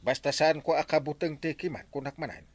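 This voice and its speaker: An actor with an Ilocano accent playing a boastful, jolly village captain in a radio comedy drama, exclaiming and chuckling. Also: male voice, fairly high pitch, fairly even intonation, a little fast, dry recording, close to an everyday voice.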